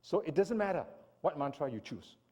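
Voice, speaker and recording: A man from Singapore giving an animated church seminar talk and often asking his listeners "right?". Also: a little high, brisk, breathy edge. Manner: animated lecturing, asks audience "right?". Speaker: speaker.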